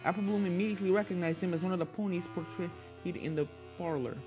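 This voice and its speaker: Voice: medium pitch, quick pace, over music. A narrator reading a scripted fan fiction story aloud.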